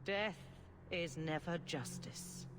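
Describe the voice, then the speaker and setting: An actress playing an elderly female grand cleric in a game, speaking in a measured, formal, gently authoritative way. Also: fairly low pitch. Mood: solemn counsel. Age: older. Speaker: senior cleric. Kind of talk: dramatic game dialogue. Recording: clearly noisy ambience behind voice.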